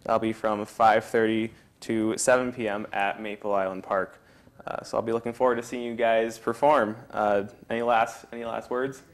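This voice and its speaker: A man's voice: lowish voice, flat delivery, moderate pace. A man hosting a casual local interview, asking questions informally with short acknowledgements. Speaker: interviewer, host.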